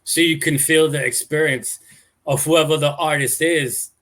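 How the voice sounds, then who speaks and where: A man's voice: fairly high pitch, steady tone, moderate pace, fairly bright tone. A man speaking as a guest in a casual live interview, laid-back and easygoing.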